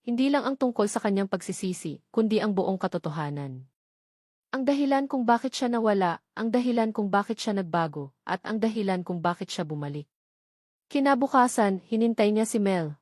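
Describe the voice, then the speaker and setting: A woman's voice: mid-range voice, a little mellow, no room sound, clean studio sound. A woman reading a scripted romance story as a dramatic audiobook narrator.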